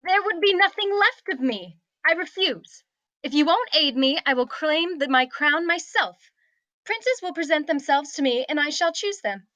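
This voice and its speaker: A woman's voice: high voice, expressive, moderate pace, sharp tone, no echo. A woman at a table read playing an imperious young princess with a haughty, declamatory delivery. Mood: indignant. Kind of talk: table read of a comedy fantasy script.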